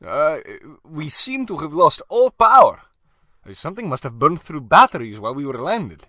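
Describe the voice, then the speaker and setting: A man acts a bumbling foreign ship's translator in a radio comedy. He talks in broken English with a bright, animated, fairly high voice, closely and dryly recorded. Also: normal speed, dry recording, clean studio sound, full character voice. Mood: dutiful. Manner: broken, oddly worded English, evasive. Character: eager, bumbling male foreign crewman and translator. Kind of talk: comic old-time radio play acting.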